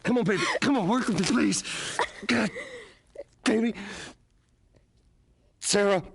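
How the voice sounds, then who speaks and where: A man's voice: high voice, a little slow, creaky, a little hiss or hum. An actor playing a desperate, grieving father in a game drama, pleading urgently with a tearful, breaking voice. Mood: anguished pleading. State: crying, voice breaking. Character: desperate, grieving father cradling his dying daughter. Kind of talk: naturalistic dramatic game performance.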